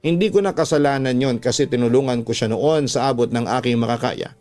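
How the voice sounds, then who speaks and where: A Filipino man hosts a storytelling program over background music. He reads letters as narration and adds reflective commentary. His voice sits at an ordinary male pitch. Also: brisk, a little mellow. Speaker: radio drama host and storyteller. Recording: music playing behind the voice.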